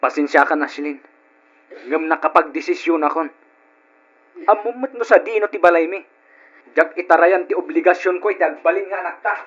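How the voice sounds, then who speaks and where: A callous young man with a high-pitched voice and an Ilocano accent. He is curt and dismissive, in old radio drama style. The recording is dull and lo-fi. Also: normal speed, long pauses, fairly bright tone. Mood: cold, dismissive. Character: cold, callous young man rejecting his partner.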